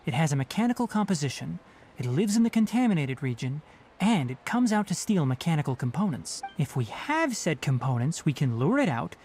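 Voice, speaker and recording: A man's voice: fairly high pitch, sing-song, a little fast, darkish tone, a little hiss or hum. An actor playing a young male forest watcher in a video game, analytical and precise, with a dry, blunt manner. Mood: analytical, brisk. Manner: precise, scholarly, matter-of-fact.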